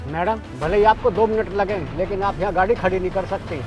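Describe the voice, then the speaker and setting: An actor playing a polite security guard who pleads with a rude driver in a short drama skit. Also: male voice, high-pitched, fairly quick, dull audio, over music, natural voice. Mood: pleading. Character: patient, polite middle-aged male security guard.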